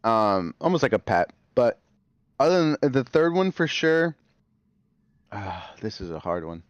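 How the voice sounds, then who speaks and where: A man on a podcast, rambling and restarting his sentences with many fillers. His voice sits in an ordinary middle range. It comes through dry and a bit muffled, as on a low-quality recording. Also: big pitch swings, unhurried, no room sound. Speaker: podcast guest. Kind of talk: casual podcast chat.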